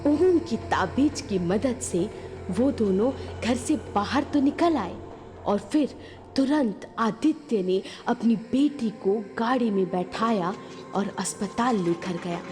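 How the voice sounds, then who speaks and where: A woman's voice: fairly high pitch, lively intonation, takes it slowly. A woman narrating a scripted horror story in a dramatic storytelling style. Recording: long reverb, music behind.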